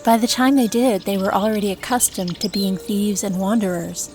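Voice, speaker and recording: Woman as composed forest mother, medium pitch. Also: fairly even intonation, moderate pace, darkish tone, faint music behind, natural voice. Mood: earnest, explaining. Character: calm, sensible mother of a forest family. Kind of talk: audio drama acting.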